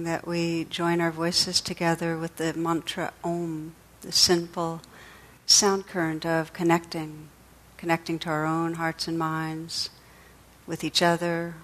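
A woman leading a guided meditation as a teacher, speaking in a gentle, soothing, unhurried way with pauses. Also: lowish voice, monotone, rough recording.

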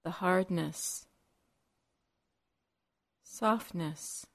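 A woman teaching a guided meditation in measured, short phrases separated by long pauses. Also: lowish voice, vocal fry.

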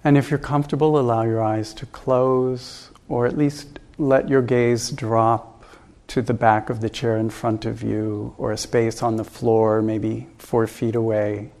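A man teaches meditation in a very slow, relaxed voice with a gravelly creak at the edges. His instructions are calm and gentle, given in short phrases with room left between them. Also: medium pitch. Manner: calm, gentle, unhurried instructions with long pauses.

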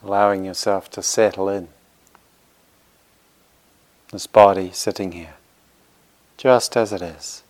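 A man leading a guided meditation as a teacher, speaking gently and reassuringly in short phrases with unhurried pauses. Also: sits low, fairly even intonation.

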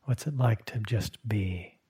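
A man leading a guided meditation as a teacher, speaking gently in short phrases with long, unhurried pauses and a soft, husky tone. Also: on the low side. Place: meditation hall at a retreat.

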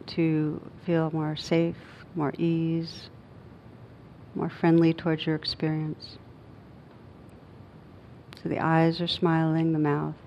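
A woman guiding a meditation as a teacher, speaking gently and unhurriedly in short phrases with long pauses. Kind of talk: guided meditation. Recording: dull muffled recording with faint rumbling noise.

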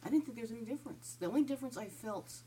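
A woman's voice: fairly high pitch, lively intonation, very fast, mellow, slight background noise. A woman from Southern California speaking candidly in a group discussion, laughing easily. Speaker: participant. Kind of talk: personal sharing in a group.

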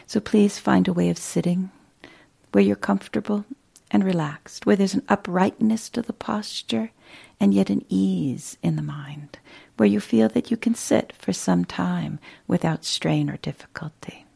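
A woman teaching meditation, giving gentle, unhurried guided instructions with long pauses between phrases. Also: mid-range voice, vocal fry, slightly rough, no room sound.

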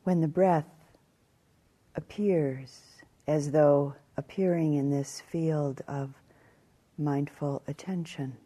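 A woman teaching guided meditation in a calm, measured way, leaving long pauses between short phrases. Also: sits low.

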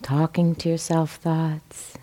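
A woman teaching meditation, giving gentle, measured guidance with pauses between phrases. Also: low voice, monotone. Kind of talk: guided meditation instruction.

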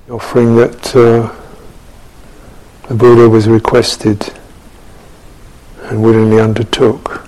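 Slow, husky man guiding meditation. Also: on the low side, darkish tone, poor audio. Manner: measured, contemplative, with long pauses. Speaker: Buddhist monk teaching meditation. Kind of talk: guided meditation and dharma talk.

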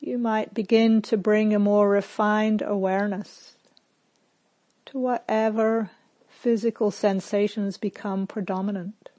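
A woman meditation teacher leading a guided meditation in short, measured phrases with long pauses. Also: mid-range voice, flat delivery, dark tone.

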